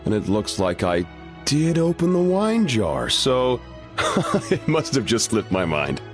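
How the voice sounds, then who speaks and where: Slow-talking, absent-minded chef, very lively intonation. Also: male voice, medium pitch. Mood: affable. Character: easygoing, absent-minded restaurant chef serving customers. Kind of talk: animated video game dialogue. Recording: music clearly behind the voice.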